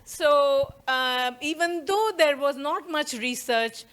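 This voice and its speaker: Quick, bright-voiced Indian woman lecturing. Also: sits high. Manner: quick, warm, laughs often, conversational. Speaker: professor giving a talk. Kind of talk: conference talk to an audience. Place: university auditorium.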